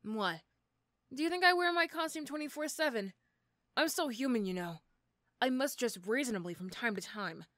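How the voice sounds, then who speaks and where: A woman's voice: fairly high pitch, expressive, normal speed, a bit bright, dry recording. A woman playing a mean but grudgingly sympathetic supervillain in an ASMR roleplay, with a dry, teasing, condescending manner. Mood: brusque, guarded. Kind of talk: ASMR roleplay character acting.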